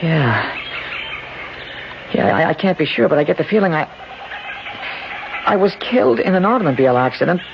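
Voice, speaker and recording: A nervous, complaining man stammers and hesitates in a creaky voice that swings widely in pitch. It is 1970s radio drama acting, heard on a dull broadcast recording with clear noise. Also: big pitch swings, moderate pace, long pauses. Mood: uneasy.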